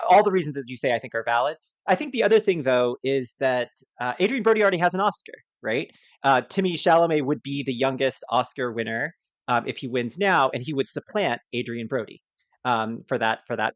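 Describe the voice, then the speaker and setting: A man speaks as a panelist in a casual film discussion. He reasons aloud in a halting, repetitive way, with an average-pitched voice and a dry, echo-free recording. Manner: halting, repeats words as he reasons.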